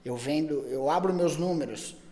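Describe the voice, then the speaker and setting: A man's voice: on the high side, moderate pace, dark tone, in a large hall. A middle-aged man, talking at length in a casual podcast chat and often restarting his words. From Brazil. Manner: talks at length, many repeated words and restarts. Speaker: pet store chain owner, guest.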